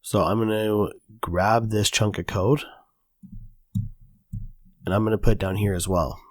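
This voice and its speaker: Man, slightly low voice, raspy from recent illness, casual tutorial host with plenty of ums, dry close mic. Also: steady tone, a little slow, no room sound. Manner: casual, chatty, many fillers. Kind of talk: screen-recorded coding tutorial.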